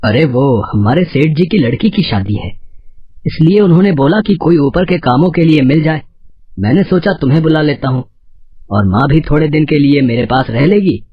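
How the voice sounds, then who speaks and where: A man's voice: fairly high pitch, expressive, moderate pace, breathy edge. A man playing an earnest, deferential adult son in a story, on a slightly dull, dry recording. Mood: obliging.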